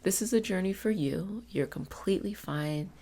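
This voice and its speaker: A woman's voice: mid-range voice, normal speed, dark tone. A woman giving an ASMR self-help talk in a soothing, reassuring, conversational coaching manner. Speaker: ASMR host and energy healing practitioner.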